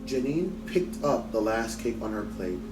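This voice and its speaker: A man with a low voice reads a story aloud expressively. He sounds set back from the microphone in an echoey space, with guitar music playing behind him. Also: lively intonation, normal speed, recorded from a distance. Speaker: teacher reading aloud for a book club. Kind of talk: expressive audiobook-style read-aloud. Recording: roomy echo, off mic, music behind.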